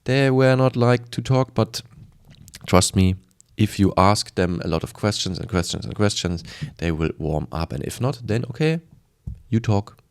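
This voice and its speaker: Low-voiced German man giving emphatic advice. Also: expressive, moderate pace, darkish tone, smooth. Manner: emphatic and repetitive, acting out short bits of dialogue. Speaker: sales coach presenting a video. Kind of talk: informal advice monologue to camera.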